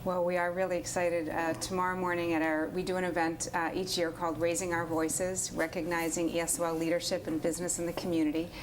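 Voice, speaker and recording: Female nonprofit director guest, low even voice, informative and steady, faint noise behind. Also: quick pace, hardly any pauses. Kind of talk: interview guest explaining her program.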